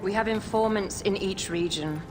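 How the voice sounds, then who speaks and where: A woman's voice: mid-range voice, normal speed, music in the background, close to an everyday voice. An actress playing a regal exiled queen in a game cutscene, languid and commanding with a teasing edge. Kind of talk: video game cutscene acting. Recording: game audio with clear background noise.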